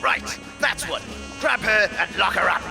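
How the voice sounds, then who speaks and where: Man playing a creaky, bossy cult enforcer. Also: sits high, a little slow, over music, exaggerated voice. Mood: curt. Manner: curt, commanding. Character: gruff, bossy male cult enforcer barking orders. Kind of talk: comedy audio drama acting.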